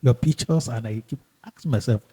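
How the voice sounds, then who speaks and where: A man hosting a podcast in casual, halting and emphatic speech, often repeating himself. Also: mid-range voice, warm tone, husky. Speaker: podcast host. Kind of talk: casual podcast introduction and interview chat.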